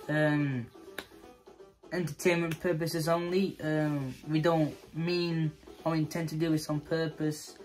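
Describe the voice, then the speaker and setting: Youthful, higher-voiced lad hosting. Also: male voice, poor audio. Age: teenager to young adult. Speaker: video host. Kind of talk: casual vlog talk to camera. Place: small bedroom.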